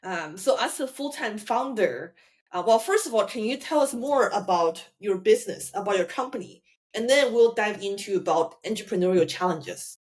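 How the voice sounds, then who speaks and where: A woman's voice: medium pitch, lively intonation, normal speed, a little mellow. A woman hosting a podcast, asking friendly, encouraging questions with some fillers. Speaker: podcast host. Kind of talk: interview questions.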